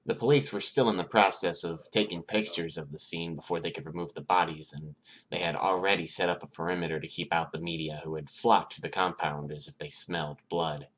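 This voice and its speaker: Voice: sits low, fairly even intonation, a little fast, a bit bright. A narrator reading scripted fiction aloud as an amateur audiobook.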